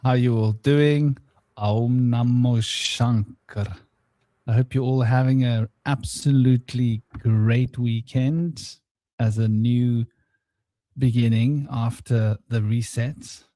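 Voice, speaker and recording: A man hosting a casual spiritual round-table chat, rambling and relaxed, with a somewhat droning voice and many fillers. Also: medium pitch, takes it slowly, no echo. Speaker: host. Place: home studio.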